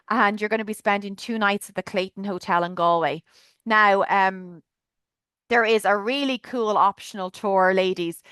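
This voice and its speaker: A woman's voice: medium pitch, fairly bright tone, clean tone, no echo. An Irish woman chattily presenting a trip on a video call webinar, enthusiastic with lots of fillers. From Ireland. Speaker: tour guide presenting a trip.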